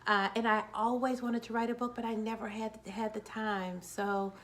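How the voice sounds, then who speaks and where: A woman's voice: on the high side, flat delivery, normal speed. A woman in her forties, a children's book author, talking casually and upbeat to camera, a little nervous and restarting words. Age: 40s.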